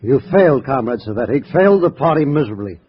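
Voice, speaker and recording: An actor playing a cold, menacing communist party boss, stern, measured and formal, in a 1950s radio drama. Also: male voice, medium pitch, big pitch swings, a little hiss or hum, natural voice. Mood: cold, threatening. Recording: muffled vintage radio recording.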